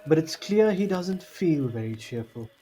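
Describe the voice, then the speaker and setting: A man's voice: a little high, lively intonation, unhurried, dark tone, soft background music. A man reading scripted narration for an audio drama. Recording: slightly noisy home mic.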